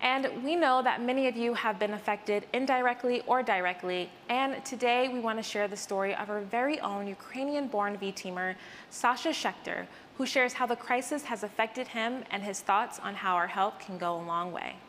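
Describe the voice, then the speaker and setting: A woman's voice: on the high side, normal speed, a bit bright, big-room sound, slight background noise. An American woman hosting a scripted news update, with a polished presenter delivery. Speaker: news host.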